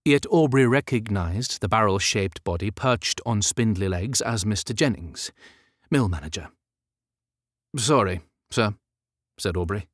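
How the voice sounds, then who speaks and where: A man's voice: on the low side, animated, moderate pace, dry recording, studio quality. A man reading an audiobook as scripted literary narration.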